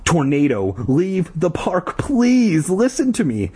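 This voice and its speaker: A frantic girl from a storybook , as a male narrator puts her on, pitched high and a little husky. She wails and pleads insistently, swinging wildly in pitch as she urges everyone along. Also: expressive, moderate pace, mellow. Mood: frantic shouting. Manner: frantic, wailing, exclamatory. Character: panicky, insistent girl shouting warnings and pleas.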